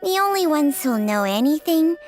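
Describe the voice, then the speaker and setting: A woman's voice: high voice, big pitch swings, normal speed, soft background music. A woman voicing a talking female snake spirit in a video game, haughty and sardonic, with proud, emphatic phrasing. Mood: dismissive. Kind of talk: video game character acting.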